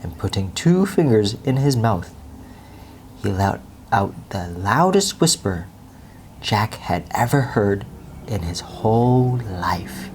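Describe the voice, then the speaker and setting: A woman's voice: rumbling low, sing-song, takes it slowly, a little mellow, a little hiss or hum. A woman reading a children's bedtime story aloud as storyteller.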